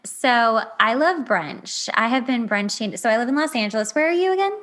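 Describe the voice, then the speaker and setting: A woman's voice: a little high, normal speed. A woman hosting a podcast in a casual, chatty way, gushing and exclaiming as she talks. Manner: gushing, exclamatory, animated reactions. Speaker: podcast host.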